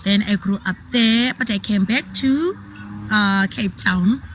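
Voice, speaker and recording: Slow-talking South African woman. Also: mid-range voice, a bit bright, faint music behind. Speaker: teacher sharing a testimonial. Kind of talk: recorded video testimonial.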